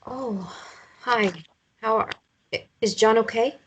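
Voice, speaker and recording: A woman's voice: a little high, slow pace, a bit bright, dull audio, a little hiss or hum. A woman playing a sad, lonely mother in a drama table read, hesitant and subdued. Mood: cautious. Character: sad, lonely mother, quietly in love with a widower.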